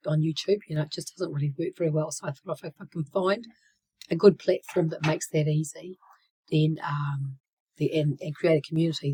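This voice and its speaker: A woman in her sixties talking casually to her channel, hoarse and croaky from a cold, with many fillers and restarts, sometimes dropping to a whisper. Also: deep voice, dark tone, poor audio. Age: 60s. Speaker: channel host. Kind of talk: casual vlog talk.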